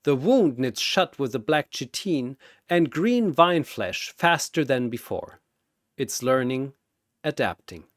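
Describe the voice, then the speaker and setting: A man's voice: medium pitch, big pitch swings, takes it slowly, no room sound, studio quality. A man telling a scripted battle story in a theatrical, intense and emphatic narrator's style. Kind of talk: dramatic scripted fight narration.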